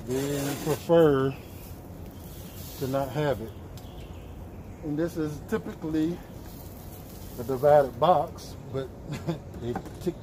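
A middle-aged man giving a relaxed how-to talk while raking out a chicken coop outdoors. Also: on the high side. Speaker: homesteader vlogger. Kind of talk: casual how-to chat while working. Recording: noisy outdoor camera audio.

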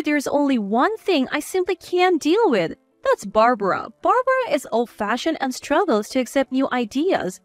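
A woman's voice: high voice, no room sound. A woman reading a scripted first-person story as its narrator.

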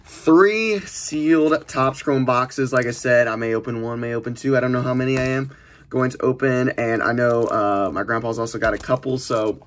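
A young man giving chatty, informal commentary as he hosts an unboxing video in a small room. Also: mid-range voice. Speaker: host of a trading card unboxing channel. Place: small indoor room at a desk. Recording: noisy room, crinkling packaging handling sounds. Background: clicks, crumpling and crinkling of packages.

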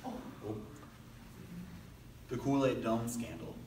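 A teenage boy firing off deadpan one-liners in a school improv game. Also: medium pitch, slow pace. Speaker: improv player. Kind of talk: improv quick answers. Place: school classroom. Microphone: far camera. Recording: echoey classroom from far off, noisy.

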